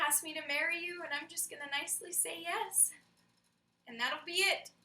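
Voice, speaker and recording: A woman's voice: extremely high pitch, bright, forceful tone, pitched above the performer's own voice, heavily acted. A woman performing a comic stage monologue as a proudly mean woman, melodramatically pleading against being made nice and married. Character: self-declared mean woman frantically resisting a marriage proposal. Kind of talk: theatrical comedy monologue. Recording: echoey room, far from mic.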